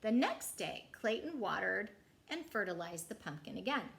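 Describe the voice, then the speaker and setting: A woman reading a picture book aloud as a children's storyteller, with deliberate, dramatic phrasing. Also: mid-range voice, sing-song, vocal fry, a bit bright.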